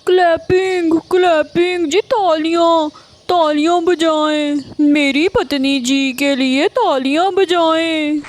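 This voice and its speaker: A woman's voice: very high voice, fairly even intonation, takes it slowly. A woman voicing an obedient, eager male crow husband in a cartoon, deferential to his wife. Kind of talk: Hindi cartoon character acting.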